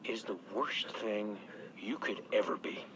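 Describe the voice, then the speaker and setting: A man playing a cold, stern captor in a video game, quietly accusing someone of treachery. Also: fairly low pitch, measured pace, natural voice. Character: cold accusing male captor condemning a traitor. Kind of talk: video game cutscene drama. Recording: loud noise over quiet voice.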